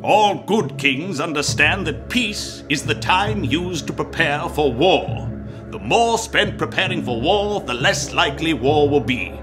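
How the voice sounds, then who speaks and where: An actor playing a pompous, zealous Templar commander in a historical audio drama, lecturing expansively and emphatically. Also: male voice, fairly high pitch, animated, unhurried, over music. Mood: confident, scornful.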